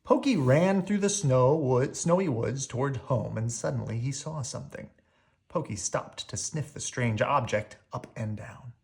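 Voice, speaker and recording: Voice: mid-range voice, measured pace, gravelly, darkish tone. A storyteller reading a children's picture book aloud expressively, drawing out key words.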